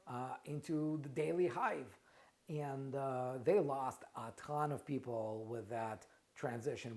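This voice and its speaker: A man's voice: on the high side, darkish tone. A middle-aged man from Russia with a Russian accent, hosting a casual live question-and-answer stream, talking in loose bursts with frequent restarts and repeated words. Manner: conversational, many restarts and repeated words, asks "right?". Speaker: trademark lawyer and company founder, show host.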